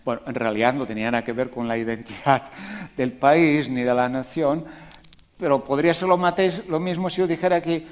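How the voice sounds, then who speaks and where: A man giving a public talk to an audience on education, animated and emphatic, asking rhetorical questions and making conversational asides. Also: medium pitch, moderate pace. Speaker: invited speaker on education.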